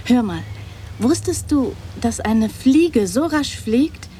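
Mellow-voiced naive young woman. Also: fairly high pitch, animated, slow, a little hiss or hum. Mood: earnest, insistent. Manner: earnest, artless, insistent. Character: naive, dreamy, poor young woman, eager and literal-minded. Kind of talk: radio drama acting. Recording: studio radio drama with background ambience.

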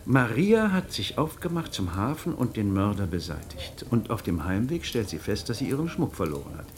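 A man's voice: lowish voice, very animated, moderate pace. A man acting in a German radio play as a shrewd magistrate, theatrical and rhetorical, relentlessly and mockingly cornering a suspect. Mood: probing, ironic. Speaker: actor in a radio drama.

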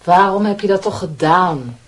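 A woman's voice: lowish voice, animated, moderate pace, natural voice. An actress playing a haughty, reproachful young girlfriend in a radio drama, with a Netherlands Dutch accent.

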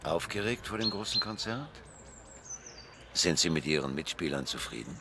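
An urbane, teasing gentleman of radio drama, speaks in a very low, gravelly voice. His courteous questions swing widely in pitch, with music behind him. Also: expressive, normal speed, heavy background noise. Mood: courteous, curious.

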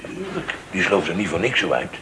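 A man's voice: sits low, wildly swooping pitch, fairly quick. A man playing a jovial, needling office clerk and family man in a radio play. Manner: teasing, jovial. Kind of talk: Dutch radio play acting. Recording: noisy old radio recording with clicks.

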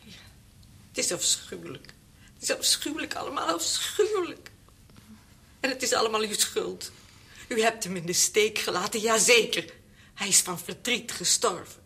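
A woman's voice: medium pitch, expressive, moderate pace, gravelly, pauses often, bright, forceful tone. An actress playing a devoted, anxious female servant to an old doctor in a radio drama. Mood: distraught, accusing.

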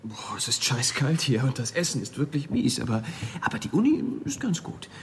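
An eager young student in a radio play, friendly but awkward. He stumbles over words in a medium-pitched voice, with clear ambient noise around him. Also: very animated, normal speed. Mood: eager, unsure. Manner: stammering, apologetic, chatty. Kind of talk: German radio drama acting.

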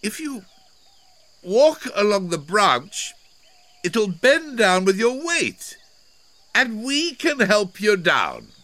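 A man's voice: on the high side, huge pitch swings, extremely slow, pushed and bright, pitched above the performer's own voice, full character voice. A man voicing a cheerful, teasing elephant leader in a children's storybook, with bouncy sing-song calling. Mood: teasing, reassuring. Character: Elmer, cheerful teasing elephant leading the herd.

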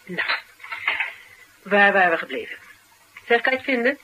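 An actress as a sharp-tongued, determined woman in a Dutch radio play. She talks bluntly and bossily, like someone used to getting her way. The old recording carries clear noise. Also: medium pitch, very animated, normal speed, lightly acted. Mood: friendly, businesslike. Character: tough, sharp-tongued, determined woman interviewer sheltering a fugitive. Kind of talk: Dutch radio drama acting.

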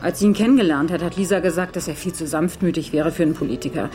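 Eine Schauspielerin spielt in einem Krimi-Hörspiel eine freimütige, neckende Floristin bei einer Verabredung. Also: female voice, low voice, very fast, mellow, soft background music, natural voice. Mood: matter-of-fact. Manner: frank, teasing. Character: teasing, blunt woman florist on a date. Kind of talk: radio crime drama dialogue.

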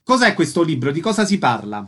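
A man's voice: fairly high pitch, very animated, fairly quick, fairly bright tone. A man hosting a radio interview, presenting and asking questions in a formal, scripted, precise announcer-like way.

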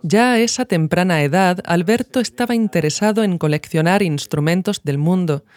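A woman's voice: fairly low pitch, moderate pace, darkish tone, no echo. Woman narrating, close and dry. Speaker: podcast narrator. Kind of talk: scripted documentary narration.

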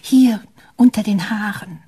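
A woman playing a distraught, soft-spoken mother in a German radio play, her voice breathy. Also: medium pitch, a little slow. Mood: sad recounting.

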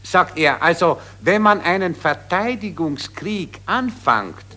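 A superior, skeptical Viennese baron in a 1940s radio satire. The voice is bright and fairly high, with animated, affected intonation. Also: male voice, slow, full character voice. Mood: snobbish, confiding. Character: snobbish, skeptical Viennese aristocratic male baron, languidly superior.

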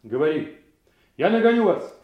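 An actor playing a principled, stately duke and army leader in a classical audio drama, speaking in formal, measured oratory. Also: male voice, medium pitch, lo-fi sound, noisy background, lightly acted. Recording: roomy, echoing studio sound.

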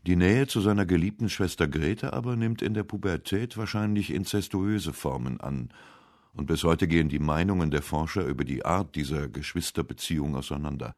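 A man's voice: deep voice, fairly quick, a little mellow, dry recording, studio quality. A man reading scripted narration for a radio essay.

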